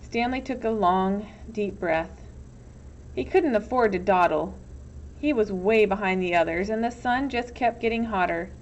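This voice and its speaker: A woman's voice: mid-range voice, unhurried. A woman reading a children's novel aloud as storybook narration. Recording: dull, lo-fi with some background noise.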